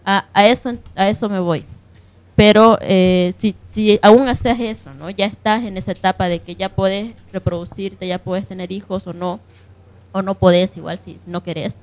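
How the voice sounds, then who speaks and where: A woman's voice: mid-range voice, steady tone, normal speed. A woman with a Bolivian accent speaking conversationally in an unscripted radio discussion, often checking for agreement. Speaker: radio panelist.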